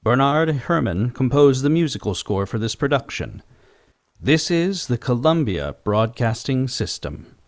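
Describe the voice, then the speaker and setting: A man's voice: mid-range voice, very animated, a little slow. A male actor playing a formal, declamatory announcer for a 1930s radio network.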